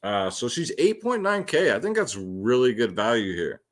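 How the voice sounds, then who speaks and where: A man talks through fight predictions as the host of a fantasy sports show, at an ordinary male pitch. His delivery is casual and slangy, addressing the viewers like buddies with plenty of "man" and "guys.". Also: expressive, moderate pace. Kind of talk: casual solo fight predictions and betting commentary.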